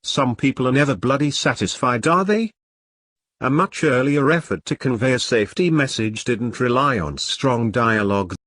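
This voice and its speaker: A man's voice: medium pitch, expressive, moderate pace, fairly bright tone, no echo. A British man reading a scripted review in a dry, sardonic, sweary manner.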